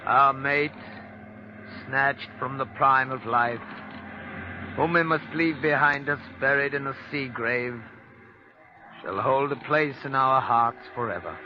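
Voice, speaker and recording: An actor playing a grave ship's captain reverently reading a funeral service in 1940s radio style. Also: male voice, mid-range voice, takes it slowly, long pauses, natural voice. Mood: solemn, mournful. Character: solemn ship's captain giving burial at sea. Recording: muffled old radio recording with music.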